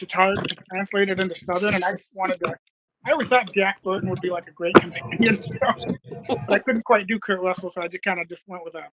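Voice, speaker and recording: A man's voice: high voice, noisy background. A man who acts in radio plays, chatting casually and rambling a little on a panel. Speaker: radio play cast member.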